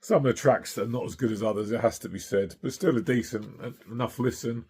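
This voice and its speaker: A middle-aged man whose voice is hoarse and gravelly after a night of singing. He talks in a relaxed, chatty way, dropping filler words as he goes, and his tone is rough and breathy with a lot of fry underneath. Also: medium pitch, brisk. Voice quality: gravelly, husky. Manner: chatty, enthusiastic, lots of uh and um. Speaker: vinyl collector vlogger. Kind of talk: casual record collection show-and-tell.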